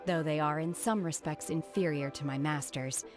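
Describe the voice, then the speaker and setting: Actress doing quiet serious game heroine, pretty low voice, calm n formal, bit of music behind. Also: female voice, normal speed, close to an everyday voice. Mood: calm, earnest. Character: reserved, earnest young woman trained by immortals. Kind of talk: video game voice acting.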